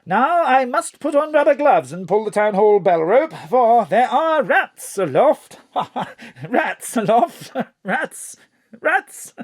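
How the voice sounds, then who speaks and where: An actor playing an excitable, flowery middle-aged government chief in an audio drama, with theatrical, flustered phrasing. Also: male voice, very high voice, slow pace, dry recording, studio quality, heavily acted. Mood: baffled, manic. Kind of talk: audio drama acting over a phone call.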